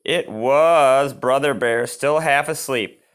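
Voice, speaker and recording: A man reads a children's storybook aloud in an expressive manner, adding his own exclaiming asides. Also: mid-range voice, slow, clean tone. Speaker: storybook reader.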